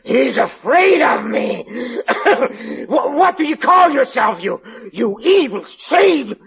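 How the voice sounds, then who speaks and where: A man's voice: extremely high pitch, big pitch swings, slow pace, creaky, exaggerated voice. An actor playing a fearful, obsessed man who stammers and repeats words in a 1940s radio horror drama. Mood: manic hysteria. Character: fearful, obsessed haunted man, unravelling into mania. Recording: muffled old radio recording with background noise.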